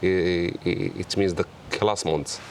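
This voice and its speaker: A man with a Moroccan accent speaks in a very deep voice, working through English in halting pieces and hunting for the right word. Faint outdoor noise hums behind him. He keeps to a casual, conversational tone. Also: fairly even intonation, vocal fry, a little mellow. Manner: halting, searching for English words. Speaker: hotel co-owner. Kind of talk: casual interview chat.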